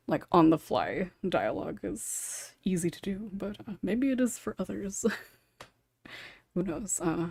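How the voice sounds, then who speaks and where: A woman's voice: fairly low pitch, creaky, a little mellow, breathy edge, dry recording. A woman with a chatty, giggly, informal manner, hosting a casual panel discussion. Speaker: podcast host and writer.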